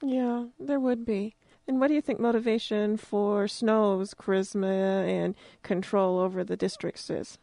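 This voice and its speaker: An American woman with a medium-pitched voice in a dry studio. She hosts an informal campus radio interview, talking plainly and conversationally. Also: fairly even intonation, unhurried, vocal fry, mellow, dry recording.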